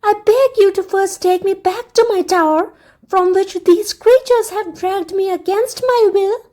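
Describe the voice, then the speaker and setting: Woman doing a high, tearful young princess. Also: unhurried, mellow. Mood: pleading.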